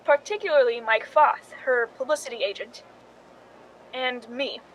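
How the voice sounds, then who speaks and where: A woman's voice: fairly high pitch, unhurried, muffled recording, a little hiss or hum, close to an everyday voice. A woman playing a movie star's resentful stand-in, narrating a radio drama in a wry, confiding way. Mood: dryly amused.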